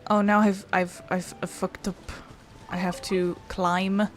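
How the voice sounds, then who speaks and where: A woman's voice: mid-range voice, flat delivery, takes it slowly. A woman giving casual gameplay commentary as a game streamer.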